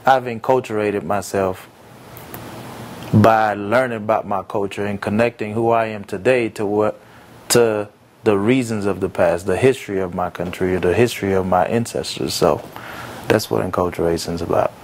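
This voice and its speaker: A young man born in Haiti and raised in America, answering interview questions in an earnest, reflective way with frequent pauses and fillers. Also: on the low side, steady tone, a little mellow, a little hiss or hum. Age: young adult. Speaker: entrepreneur interviewee.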